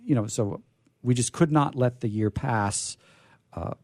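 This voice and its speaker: A man's voice: medium pitch, unhurried, darkish tone, no echo, studio quality. A middle-aged or older man hosting a radio show, chatting casually and enthusiastically in a rambling, self-deprecating way. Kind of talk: casual unscripted event chat.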